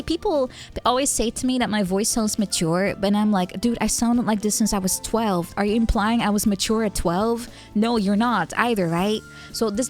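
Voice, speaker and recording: A young woman streaming, chatting casually with viewers. She laughs often, thinks aloud with fillers, and is tipsy from wine. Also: on the high side. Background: faint background music.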